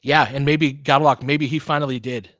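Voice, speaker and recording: A man's voice: mid-range voice, steady tone, moderate pace, fairly bright tone, no echo, clean studio sound. A man doing live basketball play-by-play and analysis in a conversational, slangy way, explaining tactics in detail.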